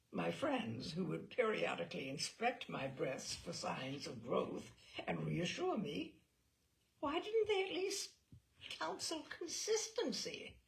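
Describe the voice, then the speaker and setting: A woman giving an expressive, wry dramatic reading of a comic personal essay, with deliberate pauses and emphasis. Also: medium pitch, creaky. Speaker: reader of humorous essays.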